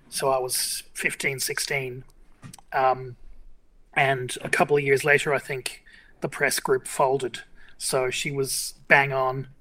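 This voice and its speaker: A man's voice: a little high, bright, forceful tone. A man in his fifties from Ireland, a journalist guest telling rambling stories with many fillers and restarts. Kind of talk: casual interview chat.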